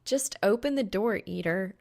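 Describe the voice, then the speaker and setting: A woman's voice: mid-range voice, normal speed, vocal fry, darkish tone, close to an everyday voice. A woman voicing a bold young female gamer, blunt, amused and teasing, in an audiobook story. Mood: wary, blunt.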